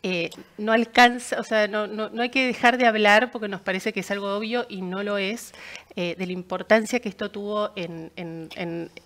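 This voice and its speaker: A woman's voice: medium pitch, fairly even intonation, normal speed, a bit bright. A woman explaining her points on a discussion panel, repeating words as she goes.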